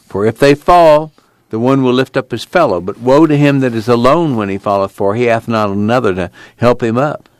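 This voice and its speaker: A man voicing a weary young man in hiding, who speaks in a low, unhurried, folksy rural way. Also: no echo, natural voice. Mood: reflective. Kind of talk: audiobook character reading.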